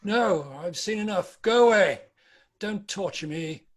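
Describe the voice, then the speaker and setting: Man acting old miser, high-pitched voice, frightened, stubborn, amateur drama table read. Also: unhurried. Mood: distressed.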